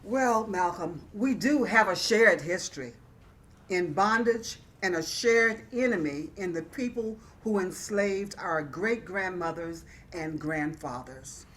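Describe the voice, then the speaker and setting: A woman playing a thoughtful, curious poet in a dramatic reading, speaking in a deliberate, expressive, reflective way. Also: on the low side. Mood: thoughtful, questioning. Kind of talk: dramatic reading of historical dialogue.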